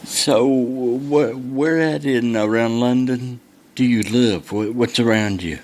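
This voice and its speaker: A man in his sixties hosting a podcast, asking questions with halting starts and fillers. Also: mid-range voice, a little mellow, slight background noise. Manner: halting, many uhs and restarts. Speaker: podcast host. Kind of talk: casual podcast interview.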